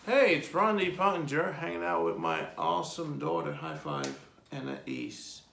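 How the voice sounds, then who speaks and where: A man in his forties, an artist telling his life story in a relaxed, rambling way during an interview. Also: on the high side, moderate pace, creaky. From Jamaica. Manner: rambling lists, relaxed and reflective. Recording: echoey room.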